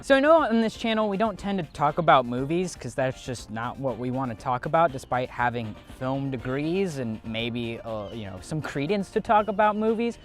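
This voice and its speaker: A young man hosts a comedy video essay with a sarcastic, profane, self-mocking delivery. His voice sits at a middling pitch, and soft music plays underneath. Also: very animated, normal speed, hardly any pauses.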